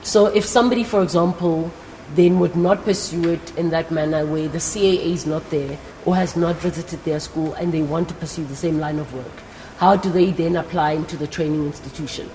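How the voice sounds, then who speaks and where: A woman's voice: sits low, steady tone, fairly quick, dark tone. A South African woman hosting an interview, encouraging and speaking directly to her audience. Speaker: interview host. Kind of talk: live career talk show interview before young guests. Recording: echoing hall with faint background noise.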